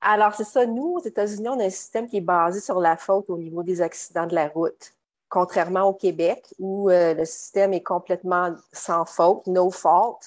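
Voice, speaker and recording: Measured woman, lowish voice. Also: steady tone. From Quebec. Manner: measured, explanatory, many fillers. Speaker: guest lawyer. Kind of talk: expert explanation in a podcast interview.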